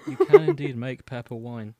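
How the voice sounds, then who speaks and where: A podcast co-host making casual comedic commentary in a deadpan, dry manner. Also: medium pitch, normal speed, a crowd in the background.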